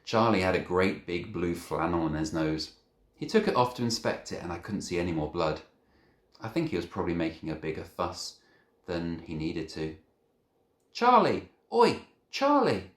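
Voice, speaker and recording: A man reading a children's novel aloud as an expressive narrator. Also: low voice, normal speed. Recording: echoey room.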